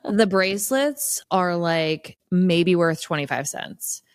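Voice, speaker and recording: A woman's voice: on the low side, slow pace, smooth, no room sound, studio quality. A woman guest on a podcast, bantering casually with a blunt, wry, sweary manner.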